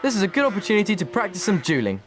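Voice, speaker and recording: A man's voice: high voice, huge pitch swings, racing, slight background noise. A man voicing a playful, helpful teenage wizard brother in a video game. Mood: eager, instructing. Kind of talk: video game character acting.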